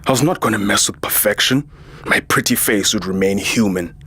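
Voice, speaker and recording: A man's voice: fairly low pitch, fairly quick, bright, forceful tone, breathy edge, dry recording. A man playing a jaded, vain warrior and security chief, narrating a science fiction audio drama with sardonic weariness. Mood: disdainful. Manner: sardonic, world-weary. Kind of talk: first-person scripted sci-fi narration.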